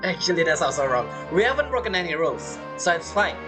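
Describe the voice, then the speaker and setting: A woman's voice: on the low side, big pitch swings, fast. A woman who is not a native English speaker, giving casual game commentary and thinking aloud. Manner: thinks aloud, muttering reactions. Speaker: gameplay streamer. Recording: game music behind commentary.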